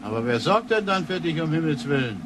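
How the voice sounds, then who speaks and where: An actor playing a gruff, irritable, old-fashioned grandfather in a sci-fi radio drama, blunt and grumbling. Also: on the high side, very animated, fairly quick, very noisy. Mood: puzzled, irritated.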